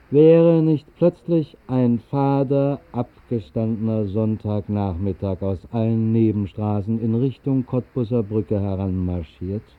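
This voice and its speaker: Guy reading a 1960s radio play story, measured and deliberate, thin tinny old recording. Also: mid-range voice. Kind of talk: scripted literary narration, 1960s German radio play. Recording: muffled, narrow-band 1960s radio recording.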